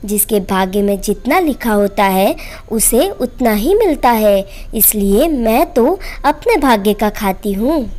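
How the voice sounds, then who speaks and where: A woman plays a young daughter in a storybook tale, dutiful and devout. Her voice is fairly high and very unhurried, reasoning respectfully and gently. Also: animated. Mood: sincere, calm. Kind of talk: Hindi moral storybook narration.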